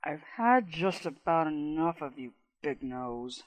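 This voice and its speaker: A sullen lioness goddess from a radio-play tale, acted by a man. She speaks very slowly with quiet, resentful menace, pitched well above the narration. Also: expressive. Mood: menacing. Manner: slow, quiet, rumbling menace.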